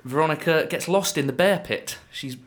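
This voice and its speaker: A young man with a croaky voice, a guest on a casual film podcast, retelling plots in a rambling, hesitant way. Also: a little high, normal speed, fairly bright tone. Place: small studio.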